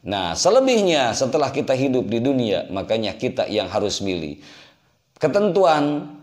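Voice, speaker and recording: A man from West Java preaches to a congregation as an Islamic teacher, explaining didactically with repeated phrases and rhetorical questions. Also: mid-range voice. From West Java, Indonesia. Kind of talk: religious sermon to a congregation.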